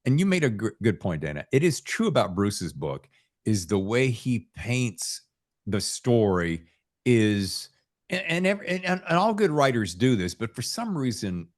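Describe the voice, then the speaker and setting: A man's voice: medium pitch, sing-song, normal speed. A man hosting a podcast, chatting casually with guests in a folksy, effusive, rambling way. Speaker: podcast host interviewing authors. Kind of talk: casual interview chat.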